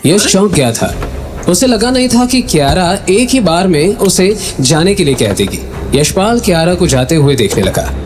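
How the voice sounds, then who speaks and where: Higher-pitched male story narrator over music. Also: very animated, moderate pace. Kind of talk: dramatic scripted story narration.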